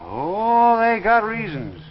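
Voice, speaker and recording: An actor playing a crazy, sly old small-town man in a 1950s drama, with a rural American accent and a folksy, rambling, excitable way of talking. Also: high voice, takes it slowly, heavily acted. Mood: conspiratorial, excited. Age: older. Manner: folksy, rambling, cackling, repeats himself. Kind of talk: 1950s television drama acting. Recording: noisy, muffled 1950s soundtrack with long reverb.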